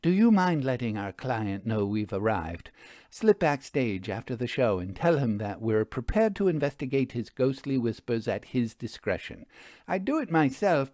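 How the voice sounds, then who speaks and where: Man voicing a wry, lively woman investigator. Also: medium pitch, normal speed, dry recording, studio quality, lightly acted. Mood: wry, breezy. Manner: inquisitive, wry, emphatic. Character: brisk, inquisitive woman investigator with wry confidence. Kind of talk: audio storybook character acting.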